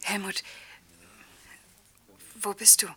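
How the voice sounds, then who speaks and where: An actress playing a concerned, intimate woman on a late phone call in a radio drama, speaking softly and tenderly. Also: takes it slowly. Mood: hushed, tender. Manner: hushed, tender. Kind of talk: German radio drama acting.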